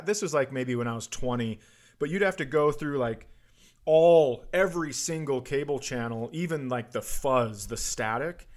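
A man in casual comic banter, telling anecdotes in a rambling way and often interrupting himself. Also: medium pitch. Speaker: comedy podcast host.

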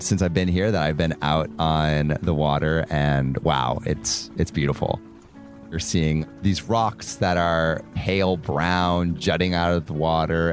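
A man hosting a podcast, narrating in a calm, measured way and interviewing a guest out on a boat. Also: low voice. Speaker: podcast host. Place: on a boat in a bay.

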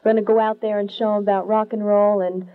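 A woman interviewing a rock band, eager and quick to laugh. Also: mid-range voice, level tone, clear, resonant tone. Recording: tinny phone-like lo-fi audio with hum.

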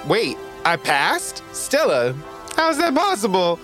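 A man's voice: high voice, huge pitch swings, slow, fairly bright tone, faint music behind, exaggerated voice. A man playing a cocky, charming but clumsy young space traveler in an audio drama. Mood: unsure, surprised.

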